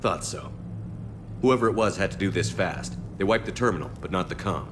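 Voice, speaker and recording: An actor playing a dry-witted, confident military commander in a video game, speaking curtly and deadpan. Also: male voice, sits low, brisk, noisy background, natural voice. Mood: determined. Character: steady, dry-witted male military commander, space hero. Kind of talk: cinematic video game dialogue.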